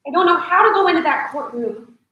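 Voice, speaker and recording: A young actress in a staged reading playing a shaken, apologetic woman confiding in friends, speaking haltingly. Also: very high-pitched, lively intonation, lo-fi sound. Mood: fearful, reluctant. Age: young adult. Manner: halting, hesitant. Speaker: actor. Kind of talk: staged play reading, naturalistic drama. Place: large echoey indoor room. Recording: echoey hall, distant microphone.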